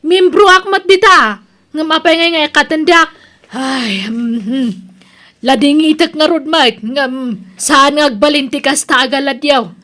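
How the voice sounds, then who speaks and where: A woman plays a feisty daughter-in-law in an Ilocano comedy radio drama. She speaks expressively in a fairly high voice, with ready exclamations. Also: measured pace, pushed and bright. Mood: indignant.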